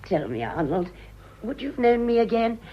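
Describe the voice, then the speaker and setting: Radio play: effusive older British lady of society, vain, chattering, very animated intonation. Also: a little high, moderate pace, lo-fi sound. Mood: breezy, chatty. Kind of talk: radio drama acting.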